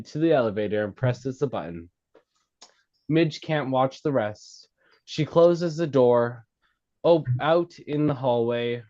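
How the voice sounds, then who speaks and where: A man reading screenplay stage directions at a table read, halting and stumbling over words as he goes. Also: medium pitch, darkish tone. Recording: slightly dull, lo-fi sound.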